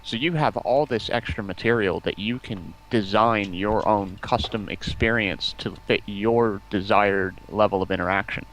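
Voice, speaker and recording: A man with a fairly low voice speaks in an informal stream discussion. He explains as he goes, in halting phrases that he builds up piece by piece. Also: a bit bright, a little hiss or hum, poor audio.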